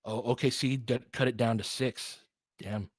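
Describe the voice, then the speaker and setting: A podcast host chatting casually with viewers, telling a rambling story full of fillers and restarts. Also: male voice, mid-range voice, steady tone, moderate pace, husky, no echo, clean studio sound. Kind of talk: casual livestream chat answering viewers.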